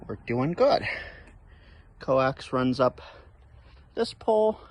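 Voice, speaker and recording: A man's voice: mid-range voice, darkish tone, slightly rough. An American man hosting a casual outdoor vlog as a radio operator, recorded on a camera in a park. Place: outdoors in a park by a baseball field. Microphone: camera without external microphone.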